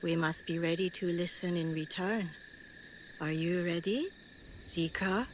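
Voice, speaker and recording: A woman playing a solemn, cryptic shrine maiden in a video game drama, hushed in manner. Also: fairly low pitch, fairly even intonation, measured pace, a little hiss or hum, close to an everyday voice. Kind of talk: dramatic game cutscene acting.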